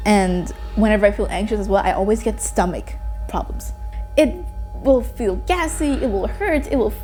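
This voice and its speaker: Young woman from Myanmar, Burmese native, mellow voice, candid and reflective, faint music behind. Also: mid-range voice, moderate pace. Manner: candid, reflective, hesitant restarts. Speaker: interviewee. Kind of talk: personal testimony.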